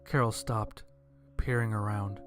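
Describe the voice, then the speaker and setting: A man's voice: on the low side, fairly even intonation, unhurried, faint music behind. A man narrating a scripted first-person horror-comedy story in a dry, wry manner.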